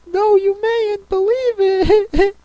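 A woman voicing a mournful, touchy, sobbing turtle creature from a storybook, with a drawn-out, tearful delivery. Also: very high-pitched, steady tone, warm tone, dry recording, pitched above the performer's own voice.

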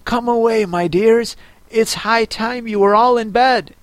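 Male reader as a shaky, high little mother canary for a storybook. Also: unhurried, no echo. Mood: fretful. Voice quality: trembling.

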